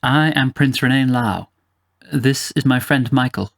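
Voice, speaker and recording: A man's voice: unhurried, vocal fry, a bit bright, no echo, clean studio sound. A man voicing a proud, guarded young prince who speaks formally, in a fantasy storybook reading. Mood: proud, wary. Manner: formal, head-high declarations.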